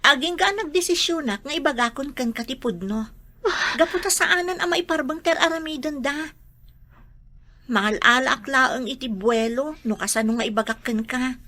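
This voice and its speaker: A woman's voice: sits high, normal speed, bright, forceful tone. A woman playing a frank, concerned neighbor in a radio drama, earnest and direct, with an Ilocano accent. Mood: frank, serious. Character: Nancy, frank, concerned female neighbor bearing bad news.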